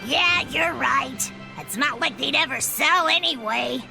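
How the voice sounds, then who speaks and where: Squeaky, cheeky little game creature. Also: female voice, vocal fry, music in the background. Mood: cheeky, smug. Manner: brash, exclamatory, drawn-out. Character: small, vain, cheeky, excitable cartoonish creature. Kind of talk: English game voice acting.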